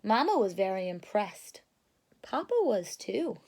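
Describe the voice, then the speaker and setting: A woman reading a children's picture book aloud in a deliberate, teacherly way, stopping to put questions to young listeners. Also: mid-range voice, sing-song, darkish tone. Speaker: read-aloud storyteller for children.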